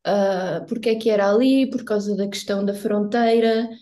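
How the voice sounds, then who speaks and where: A middle-aged woman speaks in a mellow, warm tone. She answers interview questions reflectively, stopping now and then to search for the right words. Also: mid-range voice, steady tone, normal speed. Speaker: guest photographer.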